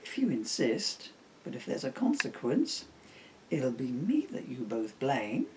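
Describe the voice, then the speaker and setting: A man's voice: medium pitch, huge pitch swings, a little slow, background noise. A man voicing a hopeful, sheepish magic unicorn in a children's storybook. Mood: reluctant.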